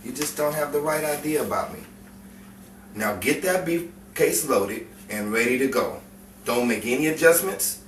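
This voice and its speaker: A man's voice: medium pitch, expressive, moderate pace, lightly acted. A man playing a cocky, menacing gangster boyfriend with slangy tough-guy talk in a 1950s crime melodrama. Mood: smug, threatening. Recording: roomy, distant mic, faint noise.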